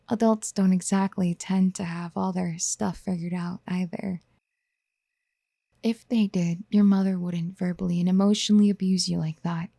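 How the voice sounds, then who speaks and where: A woman acting a doting, possessive motherly figure in a soft-spoken ASMR roleplay, soothing with breathy half-whispers. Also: mid-range voice, no room sound, studio quality. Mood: doting reassurance.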